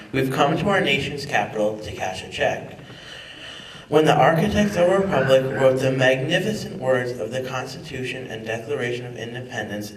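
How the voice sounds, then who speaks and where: A roomy, distant recording of a young man with a mid-range voice. He gives a formal dramatic reading as a student. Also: mid-range voice. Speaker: student reader. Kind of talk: dramatic reading of a historic speech. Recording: echoey hall, distant microphone.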